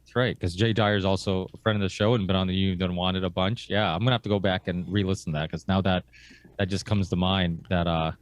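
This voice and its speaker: A man born in Portugal, hosting a podcast and telling stories in a relaxed, rambling way. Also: deep voice, fast, no echo. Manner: rambling, relaxed storytelling with fillers. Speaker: podcast host. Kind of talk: casual conversation.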